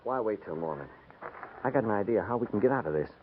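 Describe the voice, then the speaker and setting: An actor playing a proud, defiant cavalry deserter, husky and earnestly pleading, in 1950s radio western style. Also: male voice, on the low side, expressive, fast, narrow, tinny audio, slight background noise, natural voice. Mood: intent. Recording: band-limited 1950s radio recording.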